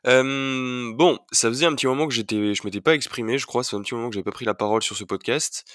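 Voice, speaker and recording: A man's voice: medium pitch, fast talker, fairly bright tone, no room sound, clean studio sound. A young man hosting his own podcast while he has a cold, talking casually with many fillers and restarts. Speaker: podcast host. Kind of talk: solo podcast chat.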